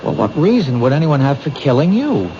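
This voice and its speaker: An actor playing a patient, thoughtful police detective who asks gentle, probing questions in a 1970s radio drama. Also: male voice, medium pitch, big pitch swings, brisk, lightly acted. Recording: dull old radio broadcast, noisy.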